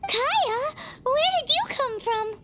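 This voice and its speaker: A woman voicing a tiny, excitable, childlike fairy companion in a video game, squeaky and exclamatory. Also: slow, noisy background, exaggerated voice. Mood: surprised, relieved. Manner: exclamatory, refers to self in third person. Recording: music behind the voice.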